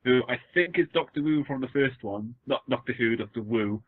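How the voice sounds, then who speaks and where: A man's voice: medium pitch, normal speed, no echo. Welsh man on a tinny podcast line. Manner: rambling, joking, trails off and restarts. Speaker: podcast co-host. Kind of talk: casual podcast chat. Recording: phone-like lo-fi dry sound.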